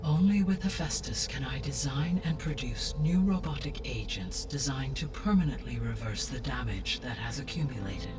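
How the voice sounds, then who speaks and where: Distant, measured artificial intelligence played by a man. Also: medium pitch, expressive, over music, close to an everyday voice. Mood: solemn, urgent. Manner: measured, precise, formal diction. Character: calm, benevolent, erudite male artificial intelligence guarding life on Earth. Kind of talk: scripted video game dialogue.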